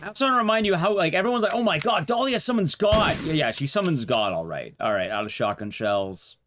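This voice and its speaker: A man's voice: fairly high pitch, expressive, fast, fairly bright tone. A man streaming casual speedrun commentary, rambling wryly to his chat. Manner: wry, rambling asides to chat. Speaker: game streamer. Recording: game audio noise behind voice. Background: game gunfire and clicks.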